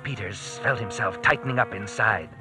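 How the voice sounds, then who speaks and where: A man's voice: lowish voice, normal speed. A man narrating a hard-boiled old-time radio drama in scripted, storytelling style. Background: dramatic music.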